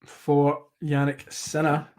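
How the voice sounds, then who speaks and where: A man hosting a live tennis watchalong, commentating play by play in a rambling, self-correcting way, slightly hoarse. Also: a little high, completely flat delivery, slow, a little mellow.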